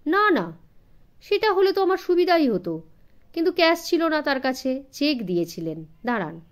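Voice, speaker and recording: A woman voicing a talkative, deferential, smiling gentleman with a nervous streak in a storybook audiobook. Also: high voice, sing-song, normal speed, voice pushed up. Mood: chatty, fussy. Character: smiling, chatty, nervous middle-class gentleman informant.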